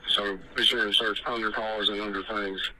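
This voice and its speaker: A man's voice: on the low side, flat delivery, moderate pace, noisy background. A male law enforcement officer talking in terse chatter over a police two-way radio. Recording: harsh police radio transmission, music underneath.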